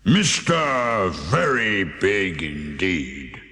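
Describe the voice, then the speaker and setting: A man's voice: deep voice, very animated, extremely slow, pushed and bright, in a large hall, voice pushed down, full character voice. A man voicing a huge, grumpy, tired giant in a children's audiobook, with a growling, roaring manner. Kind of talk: audiobook character acting.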